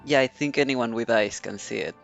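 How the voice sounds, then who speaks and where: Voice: mid-range voice, fairly quick, vocal fry. A game streamer giving casual live commentary with dry, playful reactions. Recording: muffled stream mic, game music behind.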